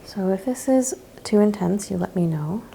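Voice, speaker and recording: A woman speaks in a soft, mellow voice with a gravelly fry at the edges. She sounds gentle and reassuring, playing a hands-on practitioner for ASMR. There is faint noise behind her close-miked voice. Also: mid-range voice, animated, moderate pace. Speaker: ASMR host role-playing a chiropractor. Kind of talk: ASMR role-play, soft-spoken with whispering.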